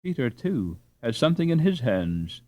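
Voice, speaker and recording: A man reading a classic children's story aloud as an audiobook narrator, with expressive, dramatic delivery. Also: mid-range voice, normal speed, no echo, dull audio. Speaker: audiobook reader.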